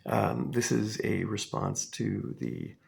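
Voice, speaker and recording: A man in his fifties, hoarse from a cold, giving a halting, earnest commentary with many pauses and fillers. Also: on the low side, big pitch swings. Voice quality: gravelly and husky. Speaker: response video maker. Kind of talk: casual spoken commentary.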